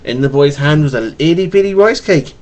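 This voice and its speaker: A man's voice: on the high side, expressive, fairly quick, darkish tone. A man reading a children's picture book aloud to his child, stumbling and repeating words with a stutter. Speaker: father reading a bedtime story to his child. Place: at home, webcam recording. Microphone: webcam microphone.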